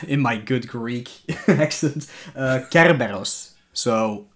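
A man co-hosting a casual game chat, laid-back and soft-spoken, mostly chiming in with short agreeing replies. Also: mid-range voice, extremely slow. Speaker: co-host.

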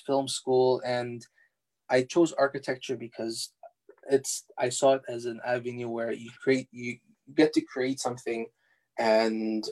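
A young man whose first language is Farsi, answering interview questions in a relaxed, halting way with many fillers and restarts. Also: medium pitch. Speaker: interview guest, architectural designer.

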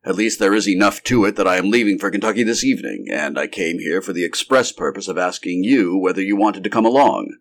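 Man playing a steady, low-voiced government agent. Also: fast talker, few pauses. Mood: matter-of-fact. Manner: matter-of-fact, businesslike. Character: steady, quiet male Secret Service operative. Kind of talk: acted story dialogue.

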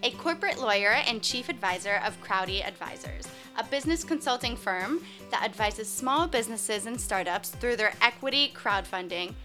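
A woman's voice: on the high side, expressive, normal speed, hardly any pauses, sharp tone, faint music behind. A woman in her twenties hosting a casual interview, chatty and informal in how she asks questions. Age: 20s. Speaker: podcast host.

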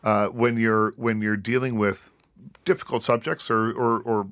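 A man with a lowish voice hosts a casual interview, talking in a chatty, easygoing way. He often restarts his sentences and laughs easily. The sound is dry and close. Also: level tone, unhurried, fairly bright tone, no echo. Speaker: interview host.

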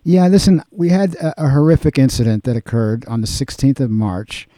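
A man hosting a community radio interview show, talking in an earnest, unhurried way with some repeated words. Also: mid-range voice, lively intonation, warm tone, no room sound.

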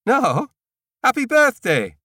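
A man's voice: extremely high pitch, huge pitch swings, slow, pushed and bright, no echo, clean studio sound, voice pushed up, full character voice. A man voicing a breezy, slightly guarded woman, a new neighbour in an audiobook, light and matter-of-fact. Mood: calling out, cheerful.

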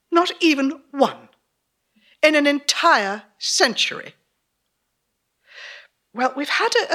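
A woman's voice: high-pitched, bright, forceful tone, pitched above the performer's own voice, full character voice. A woman playing an earnest, polite young Irish campaigner, frustrated and apologetic, in a theatrical storytelling performance.

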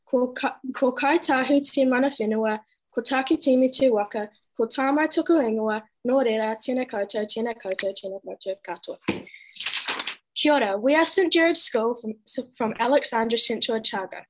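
A woman speaks for a school class over a video call in a fairly high voice. She opens with a formal greeting before introducing the class. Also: moderate pace, noisy background. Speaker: school representative.

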